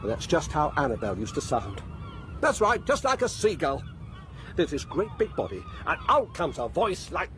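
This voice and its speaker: An actor in a radio drama playing a bitter, rambling murderous husband who confides theatrically. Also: male voice, fairly high pitch, huge pitch swings, normal speed, a bit bright, slight background noise. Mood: startled, agitated.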